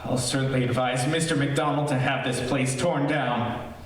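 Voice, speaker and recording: A man playing a lonely, unhinged radio-drama character who rambles haltingly and repeats himself. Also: mid-range voice, fairly even intonation, close to an everyday voice. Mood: wistful, rambling. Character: lonely, unhinged man addressing listeners, sliding from wistful to hysterical. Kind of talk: theatrical old-time radio drama acting. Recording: very echoey stage, distant mic, faint noise.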